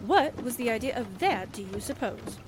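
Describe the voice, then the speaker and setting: A woman acts a wry, brassy newspaperwoman in a forties-style radio drama. Her voice sits a bit high and she talks in slangy quips. Clear rain and car noise runs behind her. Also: lively intonation, moderate pace, close to an everyday voice. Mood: wry, grumbling. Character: wisecracking, slangy newspaperwoman sidekick, brassy and grumbling. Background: rain and vehicle sounds.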